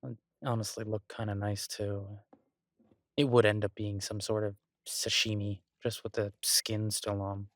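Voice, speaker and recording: A man playing an exasperated but affectionate restaurant chef in an intimate boyfriend audio roleplay, talking conversationally with halting, stammered restarts. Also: lowish voice, flat delivery, no echo, close to an everyday voice. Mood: exasperated, musing. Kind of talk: intimate boyfriend roleplay, one-sided casual dialogue.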